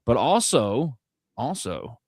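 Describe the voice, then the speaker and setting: A man's voice: mid-range voice, sing-song, slow pace, a little mellow, breathy edge, dry recording, studio quality. A man from North Mississippi, hosting a live sports podcast in a rambling, joking, self-deprecating way. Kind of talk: casual live sports chat.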